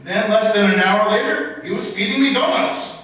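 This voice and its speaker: A man plays a timid, courteous schoolteacher in a radio comedy, with a high, bright voice. He sounds distant, echoing in a hall. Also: normal speed. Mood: puzzled. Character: timid, polite male high school teacher. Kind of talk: old-time radio comedy acting. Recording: echoey hall, distant mic.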